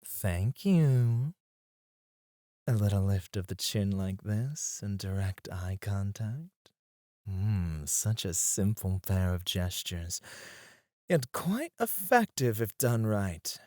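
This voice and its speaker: A man's voice: lowish voice, expressive, slow pace, darkish tone, no echo. Man acting as suave male stripper, teasing, self-assured, swooping intonation, dry close recording, ASMR roleplay. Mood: smooth persuasion. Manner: teasing, suave, breathy asides and sighs. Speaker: ASMR voice actor.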